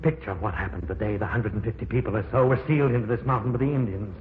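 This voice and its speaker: A man playing a calm, theorizing professor in an old radio drama, speaking in a measured, explanatory way. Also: fairly low pitch, slight background noise, close to an everyday voice. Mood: explaining. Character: older male professor, calm, theorizing, steady. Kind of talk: 1950s radio drama acting. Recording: tinny lo-fi old radio broadcast.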